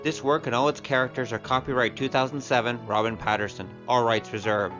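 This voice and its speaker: A man announces closing credits in a scripted read. His voice is mid-pitched, with music playing behind it. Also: steady tone, fairly quick, muffled recording. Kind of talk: scripted narration.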